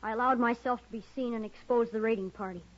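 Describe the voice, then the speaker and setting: A woman's voice: a little high, steady tone, fast talker, lightly acted. Wary young boy played by a woman, muffled. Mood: stoic, proud. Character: wary, proud, stoic captive frontier boy. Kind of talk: old time western radio acting. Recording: muffled old radio recording.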